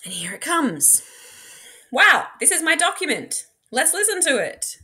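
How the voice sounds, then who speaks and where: Bright-voiced woman giving a casual tutorial. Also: high-pitched, very animated, unhurried. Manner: chatty, talks through each step aloud. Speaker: teacher presenting a software tutorial. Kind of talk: casual instructional screen-share demo. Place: indoor room at a computer.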